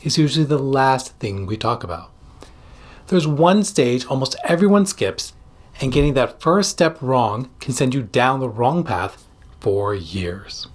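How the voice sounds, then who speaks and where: A man with a mid-pitched voice delivers a scripted educational explainer in the role of an expert host. He addresses the listener directly and puts clear emphasis on his points. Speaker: psychiatrist and video host.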